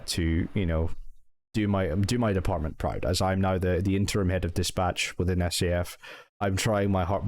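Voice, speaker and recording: Scottish man, very low voice, hesitant, heartfelt, young adult, casual vlog. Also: no echo. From Scotland. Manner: hesitant and heartfelt, many restarts and thanks. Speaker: channel owner and roleplay group member. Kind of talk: personal talking-to-camera update.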